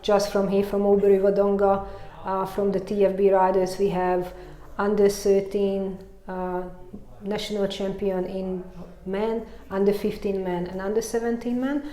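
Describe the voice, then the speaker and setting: A woman's voice: medium pitch, flat delivery, normal speed, warm tone. Chatty Hungarian-accented woman, echoey room. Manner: chatty, laughing, many "you know" fillers. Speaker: mountain bike coach. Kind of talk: casual interview chat.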